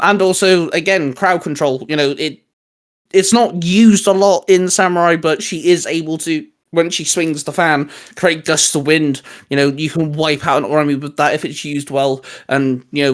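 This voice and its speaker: Higher-pitched man hosting a casual discussion. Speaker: host.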